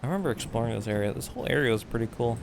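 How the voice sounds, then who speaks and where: A man's voice: mid-range voice, very fast, noisy background. A man giving casual, sweary game commentary, often muttering to himself and exclaiming. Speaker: game streamer.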